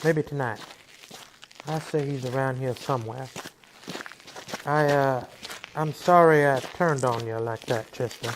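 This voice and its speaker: An actor playing a weary, commanding Old West marshal, terse and authoritative, in a western radio drama. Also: male voice, medium pitch, unhurried, pauses often, a little hiss or hum, close to an everyday voice, rough recording. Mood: weary, brooding.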